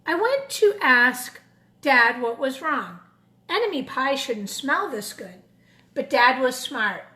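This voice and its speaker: A woman reading a picture book aloud to children, expressively and deliberately, with little questioning asides to her listeners. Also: high voice, very animated, sharp tone. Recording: echoey, reverberant room.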